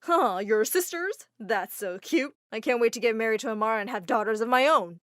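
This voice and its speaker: Woman doing a smug threatening young fiancé, loud, high voice, dry sound. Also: animated, a little fast, dry recording, natural voice. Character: pushy menacing young male fiancé.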